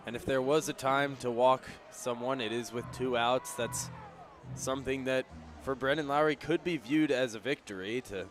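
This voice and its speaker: A man's voice: medium pitch, slight background noise. A man giving conversational, explanatory color commentary on a live baseball radio broadcast. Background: outdoor ballpark ambience.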